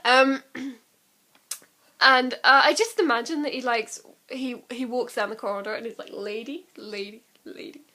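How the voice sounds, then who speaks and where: A woman's voice: high voice, moderate pace, bright, forceful tone. A young woman in a casual, rambling vlog chat, giggly and prone to laughing at herself as she does impressions. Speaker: amateur vlogger doing impressions.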